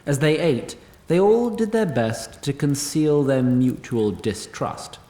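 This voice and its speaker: A man's voice: fairly high pitch, expressive, takes it slowly, dark tone, roomy sound. A man narrating a scripted fantasy tale in a theatrical, literary and wry manner.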